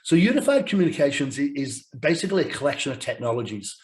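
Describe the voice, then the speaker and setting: A man, croaky from a cold, presenting a business webinar over a video call. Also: on the high side, very animated, fast talker. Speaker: sales director presenting a webinar.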